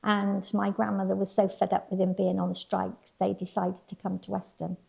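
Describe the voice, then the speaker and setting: A woman's voice: medium pitch, monotone, normal speed, gravelly, darkish tone, husky. An older woman from Weston-super-Mare chatting about her family's history, with some laughs and restarts. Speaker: local resident recalling family history. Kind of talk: casual personal reflection.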